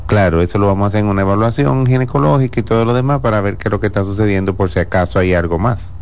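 A man, a gynecologist who answers listeners on a radio health show in a calm, explanatory way. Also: on the low side, racing, creaky, smooth. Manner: calm, explanatory, laughs easily.

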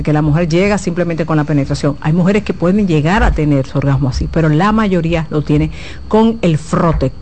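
A woman's voice: lowish voice, mellow, slight background noise. A woman giving frank, explanatory advice as a guest sex therapist on a talk show.

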